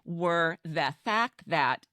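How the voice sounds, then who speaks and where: A middle-aged American woman co-hosting a podcast, chatting casually in an animated, joking way. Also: on the low side, drawn-out words, dry recording, clean studio sound. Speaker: podcast co-host. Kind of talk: casual, chatty group conversation.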